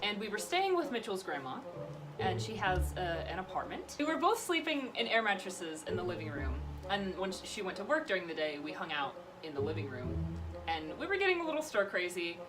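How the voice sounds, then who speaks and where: A woman's voice: on the low side, big pitch swings, fairly quick, music in the background, poor audio. A young woman vlogger telling personal stories in a casual, chatty, playful way, recapping details with mock seriousness. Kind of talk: casual chatty storytelling with a friend.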